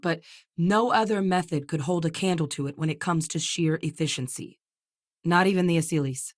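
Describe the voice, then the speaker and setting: An actress voicing a seasoned, determined female military xenobiologist in a video game, speaking in a measured, earnest, matter-of-fact way. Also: deep voice, no room sound, clean studio sound. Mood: measured, serious. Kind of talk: scripted game dialogue.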